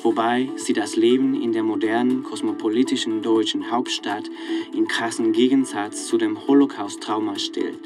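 A man's voice: lowish voice, steady tone, moderate pace, music in the background. A man delivering scripted documentary narration in an audio play.

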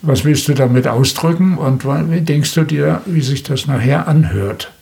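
A man's voice: a little high, normal speed, gravelly, darkish tone. An older man speaking as a radio documentary maker in a conversational interview, explaining things reflectively.

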